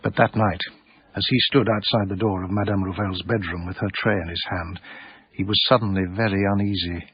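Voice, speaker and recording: An actor playing a wry, literary art dealer, narrating his own story in measured, florid phrases for radio drama. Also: low voice, fairly even intonation, slightly rough, no room sound, highs cut off.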